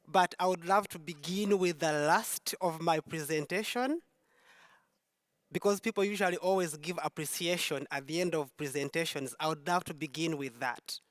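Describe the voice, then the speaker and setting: A woman's voice: on the low side, clean studio sound. A woman from Uganda, giving an emphatic, heartfelt personal testimony on a concert hall stage, repeating key phrases for weight. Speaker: speaker at a Pride event.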